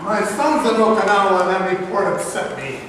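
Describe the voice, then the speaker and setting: Man playing an angry high-voiced bar patron. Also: lively intonation, normal speed. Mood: angry, worried. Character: upset, angry bar patron, worried father. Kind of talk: staged play reading. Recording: echoey hall from far off, noisy.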